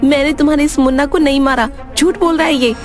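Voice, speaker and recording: A fierce, sharp-tongued village wife from a cartoon, played by a woman, scolds and threatens in a high, combative voice. Music plays clearly underneath her. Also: steady tone, fast, close to an everyday voice. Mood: irritated scolding. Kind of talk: cartoon voice acting.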